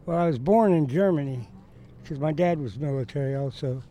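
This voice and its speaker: A man from Kansas City talking in a plain-spoken, halting way in an interview. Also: on the high side, warm tone. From Kansas City, USA. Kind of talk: interview testimony.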